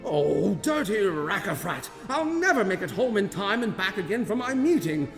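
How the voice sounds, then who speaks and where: Goofy old inventor for radio drama, high voice, super theatrical, echoey hall sound. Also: male voice, sing-song, normal speed, gravelly, a bit bright, full character voice. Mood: flustered, indignant. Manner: theatrical, exclamatory, flustered. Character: excitable, bumbling, self-important old male inventor. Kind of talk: radio play acting. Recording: roomy echoing hall sound, music behind.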